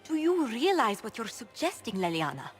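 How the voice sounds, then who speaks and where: An earnest woman adviser in a fantasy game. She is sharp and emphatic, with very lively intonation and some music underneath. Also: a little high, normal speed. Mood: concerned, urgent. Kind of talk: video game dialogue acting.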